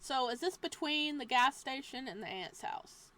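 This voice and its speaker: A woman's voice: sits high, animated, normal speed, a bit bright. A woman reading a horror visual novel aloud, breaking off for playful, joking asides. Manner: playful asides between narration. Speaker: game streamer.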